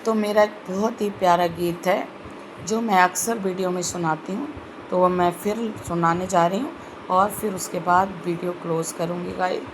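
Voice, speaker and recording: A woman with a sore throat giving a slow, earnest devotional talk in an online video. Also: on the low side, fairly even intonation, background noise. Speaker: spiritual video host.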